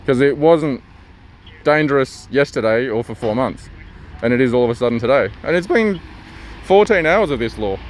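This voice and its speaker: A man's voice: mid-range voice, animated, moderate pace, a little hiss or hum. A man from Slovakia, arguing with police on a street outdoors, exasperated and defiant, recorded on a handheld phone. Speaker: furniture remover stopped by police. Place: outdoors on a suburban street with traffic.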